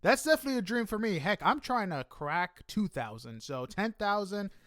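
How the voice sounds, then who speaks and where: A man's voice: high-pitched, sing-song, moderate pace, fairly bright tone, no room sound, studio quality. A man hosting a casual podcast interview in an upbeat, effusive and enthusiastic manner.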